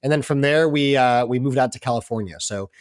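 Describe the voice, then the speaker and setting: Fast-talking American man, chatty. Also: medium pitch, dry recording, studio quality. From Boston area, US East Coast. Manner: chatty, informal, talks fast. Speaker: podcast guest, entrepreneur. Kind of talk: conversational interview storytelling.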